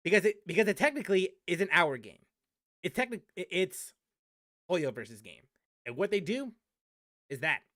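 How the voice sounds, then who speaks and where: A man's voice: sits high, sing-song, moderate pace, pauses often, fairly bright tone, no room sound, clean studio sound. A man streaming a game and ranting casually, rambling with many restarts and fillers. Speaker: gaming streamer.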